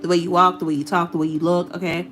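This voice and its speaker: A woman's voice: on the low side, level tone, fast talker, fairly bright tone, soft background music. Chatty woman giving a tarot reading. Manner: chatty, repetitive, casually profane. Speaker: tarot reader. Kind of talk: casual tarot reading monologue.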